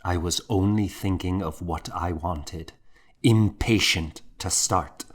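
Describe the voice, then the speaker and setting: Deep, slow-paced male audiobook narrator. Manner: measured, dramatic storytelling. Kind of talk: scripted first-person novel narration.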